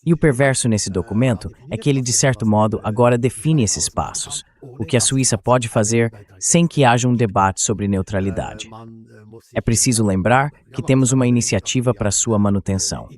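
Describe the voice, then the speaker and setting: A man speaks as an interview guest, explaining political matters in a measured way, like a dubbed translation. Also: medium pitch, lively intonation, darkish tone.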